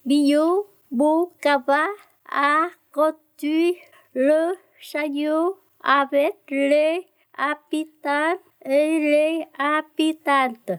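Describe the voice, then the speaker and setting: A woman with a high voice speaks French very slowly as a learner. She goes word by word with many pauses. The sound is close and dry. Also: fairly even intonation, dry recording.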